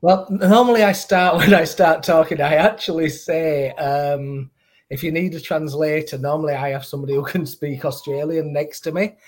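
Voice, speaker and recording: A man's voice: on the high side, steady tone, normal speed, a little mellow. A middle-aged man with a Northern English accent, chatting casually as a podcast guest about his career in brewing. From Northern England. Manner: chatty, self-deprecating, laughs easily. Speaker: guest, brewer and distiller.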